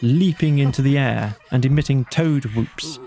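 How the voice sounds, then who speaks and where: A man narrating a bedtime storybook in a measured, dramatic storytelling manner. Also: medium pitch, warm tone. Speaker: audiobook narrator.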